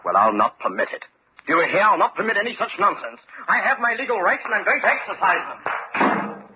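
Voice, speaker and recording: A man's voice: high voice, very animated, brisk. An actor playing an indignant, formal widower who insists on his rights, in a theatrical vintage radio style. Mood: outraged. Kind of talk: vintage radio mystery acting. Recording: dull, hissy old radio recording.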